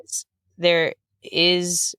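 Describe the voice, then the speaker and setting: A woman's voice: on the low side, monotone, drawn-out words, a bit bright, clean tone, dry recording, studio quality. A woman answering as an interview guest in casual, thoughtful talk, often rephrasing and circling back as she goes. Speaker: interview guest, writer of audio fiction.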